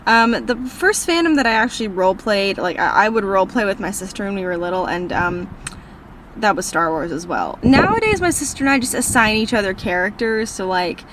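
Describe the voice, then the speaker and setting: A woman's voice: medium pitch, expressive, brisk, a bit bright, a little hiss or hum, low-quality recording. Bubbly teen girl with Minnesota accent. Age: teens. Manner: bubbly, rambling, self-deprecating. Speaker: book vlogger. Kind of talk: casual Q&A vlog. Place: in a parked car.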